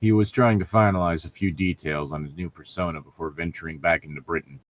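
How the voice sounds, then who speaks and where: A man's voice: sits low, fairly even intonation, fast talker, no echo. A man reading fan fiction aloud in a casual, mocking way, swearing and stumbling over words as he makes sarcastic asides. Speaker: co-reader on a fan fiction riffing show.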